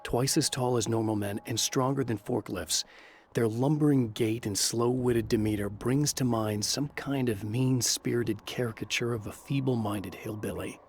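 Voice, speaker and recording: An actor narrating as a brooding writer hero, measured and grim. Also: male voice, mid-range voice, darkish tone, a little hiss or hum, natural voice. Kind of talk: scripted game narration.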